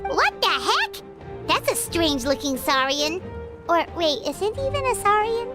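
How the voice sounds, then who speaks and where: A squeaky, very high little fairy girl, nosy and blunt, her voice bouncing up and down as she chatters. She speaks over game music. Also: big pitch swings, full character voice. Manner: chirpy, nosy, quick to comment. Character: small floating female fairy companion, chatty and blunt. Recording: game audio under music.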